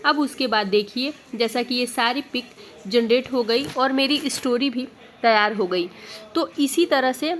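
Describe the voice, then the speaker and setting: High-voiced woman explaining steps. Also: a little slow, fairly bright tone. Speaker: tutorial host. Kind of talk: step-by-step screen tutorial narration.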